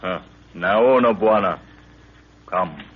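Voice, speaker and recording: An actor in an old radio drama playing a huge, loyal African warrior prince who speaks in terse broken pidgin English mixed with native words. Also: male voice, sits low, lively intonation, slow pace, husky, exaggerated voice. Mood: alert, terse. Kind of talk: 1930s radio drama acting. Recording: scratchy, tinny 1930s radio recording.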